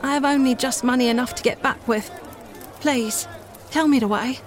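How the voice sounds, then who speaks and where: A woman's voice: high voice, normal speed, some chatter behind, natural voice. A woman voicing a poor, anxious young country mother in a storybook, humble, polite and pleading. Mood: humble, determined.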